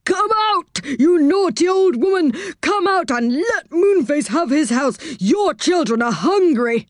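A woman's voice: sits high, expressive, moderate pace, a little mellow, no echo, clean studio sound, voice pushed up. A woman voicing a kindly, eager old saucepan man in a storybook, speaking emphatically. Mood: shouting.

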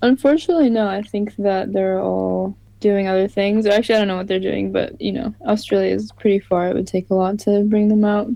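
A young woman musician answering interview questions in a relaxed way, with lots of "like" fillers. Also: medium pitch, brisk, vocal fry, hardly any pauses, no echo, low-quality recording. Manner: relaxed, filler-heavy with frequent "like". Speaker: musician guest.

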